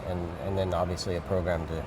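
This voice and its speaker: A man hosts a casual interview in a fairly low voice. He is informal and conversational, repeating words as he agrees or moves to a question. Clear urban noise sits behind him. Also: flat delivery, quick pace. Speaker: interviewer, host. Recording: noisy urban ambience behind voice.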